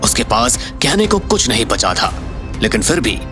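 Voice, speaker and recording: A man's voice: medium pitch, big pitch swings, normal speed, music in the background. A man narrating a scripted Hindi audio story in a dramatic style.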